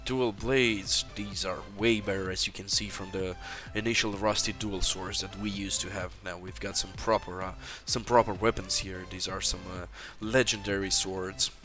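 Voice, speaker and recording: A man's voice: deep voice, steady tone, moderate pace, hardly any pauses, over music. A man from Romania, commenting live as he plays through a fantasy online game. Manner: narrates his actions, many fillers. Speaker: game developer streaming gameplay.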